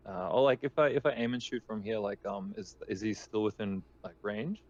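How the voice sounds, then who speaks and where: A man's voice: on the low side, a little fast, darkish tone, dry recording, dull audio. A man playing a tabletop roleplaying game, talking casually and tentatively as he asks questions. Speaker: player in a tabletop RPG.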